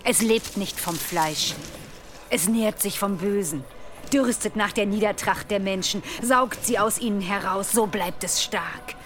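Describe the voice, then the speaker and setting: An actress playing an ancient, knowing seer woman in an audio drama, speaking in slow, portentous archaic phrases. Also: mid-range voice, fairly bright tone, soft background music, lightly acted. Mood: knowing, insistent. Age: older. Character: ancient female seer, ominous, knowing, mocking old crow.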